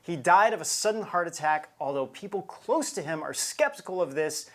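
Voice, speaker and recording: A man's voice: fairly high pitch, animated, moderate pace. A man co-hosting a show, narrating scripted material in an emphatic, conversational storytelling way. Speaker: show co-host.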